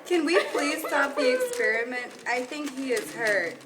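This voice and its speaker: Woman as earnest puppet participant running a shock test. Measured, slow amateur delivery, noisy recording. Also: high-pitched, far from the mic, pitched above the performer's own voice. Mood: concerned. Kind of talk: amateur puppet show acting. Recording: clear background noise.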